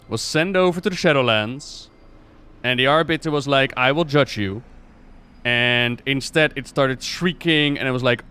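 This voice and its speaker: A man giving casual reaction commentary on game cinematics as a streamer, excitable and slangy in his exclamations. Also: a little high. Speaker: streamer hosting a reaction video.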